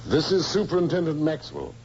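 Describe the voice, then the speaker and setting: An actor playing a brisk, dutiful provincial police superintendent in an old-time radio drama. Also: male voice, on the high side, close to an everyday voice. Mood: businesslike. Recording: muffled, noisy old radio recording.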